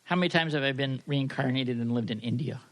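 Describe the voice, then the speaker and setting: A man co-hosting a podcast in casual banter, joking in a halting, repetitive way. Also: mid-range voice, a bit bright. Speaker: podcast co-host.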